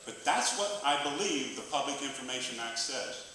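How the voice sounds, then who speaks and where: A man's voice: mid-range voice, animated, normal speed, bright, forceful tone. A man in his sixties making a blunt, emphatic public comment to county officials at a meeting, speaking into a hand-held mic. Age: 60s. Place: county meeting room, roomy. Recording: echoey meeting room, distant mic, faint hiss.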